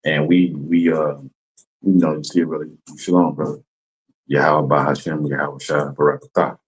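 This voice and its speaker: Very deep husky laid-back man. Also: level tone, normal speed, poor audio. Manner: laid-back, constantly asks "you know what I'm saying". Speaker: class member explaining greetings. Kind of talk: casual chat.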